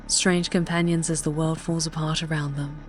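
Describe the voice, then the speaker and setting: A woman's voice: sits low, flat delivery, normal speed. A woman narrator reading a scripted story in a dramatic audiobook style. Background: faint music.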